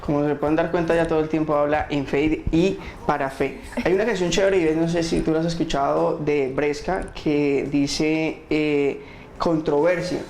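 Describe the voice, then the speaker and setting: A woman's voice: low voice, steady tone, moderate pace, a little mellow, slight background noise. A woman with a Colombian accent, hosting an informal, conversational interview. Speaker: podcast host interviewing a guest. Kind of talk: casual chat interview. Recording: roomy echo with music behind.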